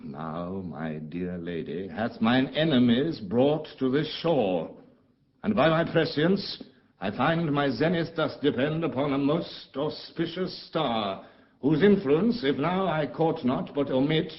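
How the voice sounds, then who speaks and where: Slow, commanding magician duke. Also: male voice, medium pitch, animated. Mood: grave, intense. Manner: grave, measured, commanding declamation. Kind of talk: theatrical Shakespearean audio drama acting. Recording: muffled lo-fi audio.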